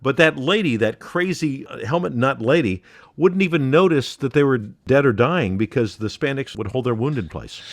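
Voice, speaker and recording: Wry middle-aged man, animated pitch. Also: moderate pace. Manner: mock-serious, wry. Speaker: podcast co-host. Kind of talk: casual satirical banter.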